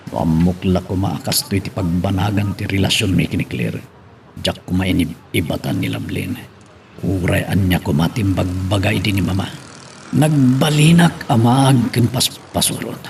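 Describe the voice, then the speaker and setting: Low, gravelly Ilocano lover played by a male actor, brooding and self-reproachful drama monologue, slight background noise. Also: a little mellow, exaggerated voice. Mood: troubled. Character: regretful, troubled lover brooding over past mistakes.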